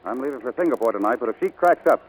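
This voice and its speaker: An actor playing a brash, hot-tempered American ace pilot in 1940s radio acting style, on a vintage broadcast recording. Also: male voice, mid-range voice, lively intonation, very fast, slightly rough, rough recording. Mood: angry, accusing.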